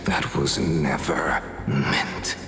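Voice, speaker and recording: A man playing a haunting, accusing figure in a video game, speaking in a breathy, commanding, ominous manner. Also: rumbling low, very slow, exaggerated voice. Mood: menacing. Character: haunting, accusing male voice urging surrender to death. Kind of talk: dramatic video game acting. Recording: loud music behind.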